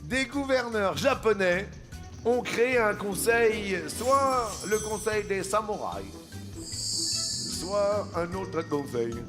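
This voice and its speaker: A man's voice: sits high, animated, slow pace. A man hosting a quiz show in a playful, teasing and theatrical manner, sometimes slipping into song. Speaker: game show host. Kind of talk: lively quiz show presenting with playful banter. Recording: TV studio with music behind. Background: show music.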